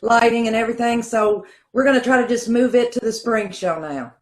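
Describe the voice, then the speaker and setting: A woman's voice: fairly high pitch, brisk. A woman with a Kentucky accent, chiming in with upbeat, casual announcements over a video call. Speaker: theater troupe member. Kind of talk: casual chat. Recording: echoey room on a video call.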